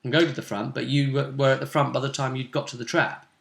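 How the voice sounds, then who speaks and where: British man, conversational game master, forward bright tone, echoey room recording. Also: medium pitch, little pitch movement, fast talker, gravelly. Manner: conversational, explanatory. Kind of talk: casual recap of a tabletop role-playing session.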